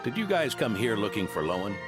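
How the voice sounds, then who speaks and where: An actor voicing an elderly, kindly knight in a video game, with a warm, measured delivery over game music. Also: male voice, fairly low pitch, sing-song. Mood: calm. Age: older.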